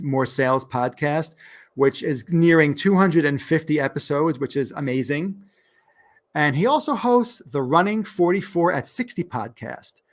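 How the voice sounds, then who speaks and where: Man, New York accent, podcast host, upbeat, fairly high voice. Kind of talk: podcast interview.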